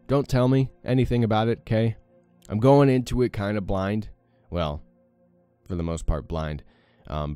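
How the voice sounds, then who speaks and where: Young guy w/ deep morning voice, rambly let's play chat, smooth and clear. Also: dark tone. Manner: rambling, many fillers, self-deprecating. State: morning voice with a crack. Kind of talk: casual gameplay commentary.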